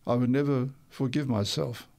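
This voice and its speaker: A man's voice: mid-range voice, steady tone, normal speed, gravelly, a little mellow. An older man who grew up in Tonga, telling his life stories in a radio interview, rambling a little and correcting himself as he goes. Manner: rambling, with restarts and self-corrections. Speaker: interview guest.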